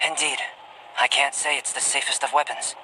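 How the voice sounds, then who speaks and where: An actor playing a composed, scholarly young alchemist in a video game cutscene, measured, formal and politely reserved. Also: male voice, medium pitch, flat delivery, bright, forceful tone, a little hiss or hum, rough recording. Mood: thoughtful, serious.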